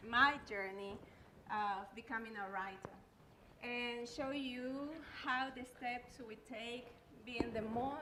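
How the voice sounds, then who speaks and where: A woman's voice: a little high, pushed and bright. A woman with a Peruvian Spanish accent giving a personal talk as a guest speaker, hesitant, nervous and self-deprecating. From Peru. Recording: echoey room, clear background noise.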